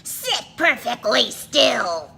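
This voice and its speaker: Storybook villain, played by a woman: strict, witchlike female teacher, snapping and hissing, tense edge, big pitch swoops. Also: sing-song, drawn-out words, creaky, bright, forceful tone, breathy edge, heavily acted. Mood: stern, threatening. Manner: snapping, hissing, stern commands.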